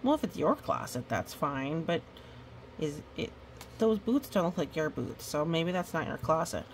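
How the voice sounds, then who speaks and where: A woman's voice: deep voice, animated, moderate pace, slight background noise. A woman reading a picture book aloud with playful, chatty asides to the characters and listeners. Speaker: storytime reader.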